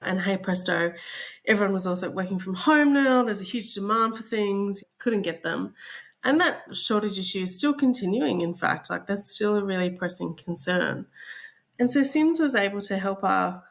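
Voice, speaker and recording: A woman's voice: medium pitch, animated, moderate pace, vocal fry. A woman with an Australian accent, explaining things conversationally as a guest in a podcast interview.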